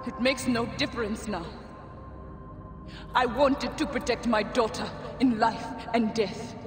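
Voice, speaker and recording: A woman's voice: on the high side, unhurried, close to an everyday voice. An actress playing a fierce, grieving sorceress mother who guards a tomb, in an imperious, theatrical video game performance. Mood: grieving, pleading. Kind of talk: dramatic video game acting. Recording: echoey reverberant hall with music behind.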